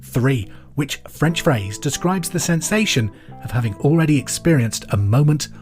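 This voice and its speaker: A man hosting a pub quiz podcast, reading questions and answers in a clear, deliberate way with casual asides. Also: mid-range voice, lively intonation, a little mellow. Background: music under the voice.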